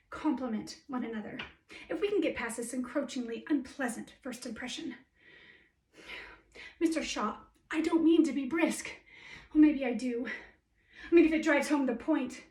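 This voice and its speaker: A woman acts out an ambitious, dynamic woman in a theatrical monologue. She is brisk, witty and emphatic, with a high voice, heard at a distance in a roomy space. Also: a little mellow. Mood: brisk, wry. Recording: roomy echo, distant microphone.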